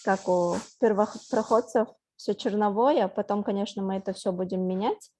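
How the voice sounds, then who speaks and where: A woman with a soft, mellow voice walks through her team's project in an online class. She talks haltingly and fills gaps with small hesitations. The sound comes over a video call. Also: mid-range voice. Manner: hesitant, many fillers. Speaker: student team member presenting project.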